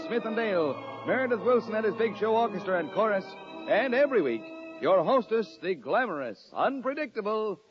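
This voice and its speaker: An American man announcing a 1950s radio show and its sponsors in a grand, emphatic showman style. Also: high-pitched. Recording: muffled vintage radio broadcast over music.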